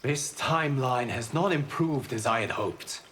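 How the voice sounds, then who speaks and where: A resolute, noble god performed by a man for a video game, in a mid-range voice. He speaks formally and calmly, with noticeable noise behind him. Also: mid-range voice, measured pace, natural voice. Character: calm, noble male god, protector of timelines. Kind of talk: video game character dialogue.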